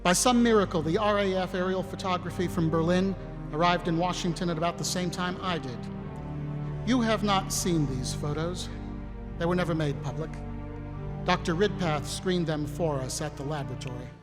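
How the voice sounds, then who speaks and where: An actor plays an earnest American army captain in a wartime audio drama, weary and plain-spoken. His voice is fairly high and resounds in a large hall. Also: normal speed, natural voice. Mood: reflective. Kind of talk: live audio drama acting and narration. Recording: echoey hall, faint music behind.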